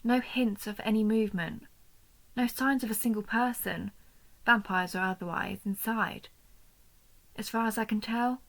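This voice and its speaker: A woman playing an injured vampire who hunts her own kind, protective, proud and wry, in a measured, intimate audio roleplay. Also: a little high, steady tone, a bit bright, poor audio. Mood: wry, watchful. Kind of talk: scripted audio roleplay addressed to the listener.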